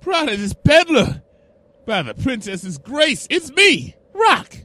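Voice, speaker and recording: A man's voice: very high-pitched, huge pitch swings, slow pace, sharp tone, heavily acted. A man voicing a protective, folksy pony father in a wasteland audio drama, with a drawling Southern US accent. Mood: startled, excited.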